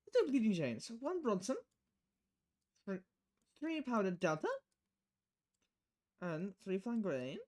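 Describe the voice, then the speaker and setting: A woman game streamer with a mid-pitched voice and animated intonation. She talks herself through the play as she reads on-screen text aloud. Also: unhurried, long pauses. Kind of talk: reading visual novel dialogue aloud while playing.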